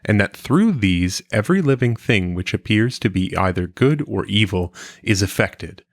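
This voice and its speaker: A man reading an old philosophical text aloud in a measured, formal manner, with clear phrasing, like an audiobook. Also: lowish voice, expressive, dry recording, studio quality.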